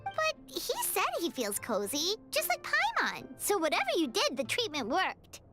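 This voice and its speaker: A woman voicing a small, childlike, cheerful and naive fairy companion in a video game, with a squeaky, chirpy delivery. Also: wildly swooping pitch, moderate pace, exaggerated voice. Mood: curious, cheerful. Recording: game music behind the voice.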